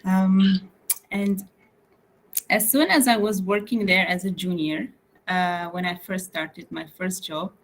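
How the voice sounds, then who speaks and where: A woman's voice: mid-range voice, steady tone, takes it slowly, a little hiss or hum. A young woman raised in Malaysia, talking about her work as an interior architect in a casual interview over a video call, with many fillers and restarts. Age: young adult.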